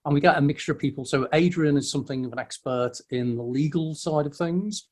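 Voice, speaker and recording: A man's voice: fairly high pitch, fairly quick. A man hosting a casual meetup over a video call, friendly and welcoming, often stumbling and restarting his words. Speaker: host of a weekly online meetup.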